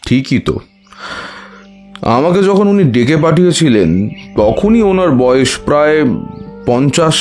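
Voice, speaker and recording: An actor playing a wise older tantric priest who recounts eerie memories in a measured way, with thoughtful hums, in an audio horror story. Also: male voice, medium pitch, a little mellow, lightly acted.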